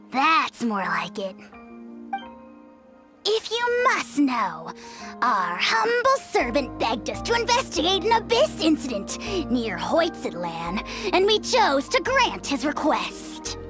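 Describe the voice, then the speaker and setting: A woman's voice: sits high, wildly swooping pitch, slow, music in the background, full character voice. A woman voicing a small, boastful dragon overlord in a video game, speaking in pompous, theatrical royal phrases. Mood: smug, disdainful. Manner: pompous, theatrical, royal we, archaic grand speech.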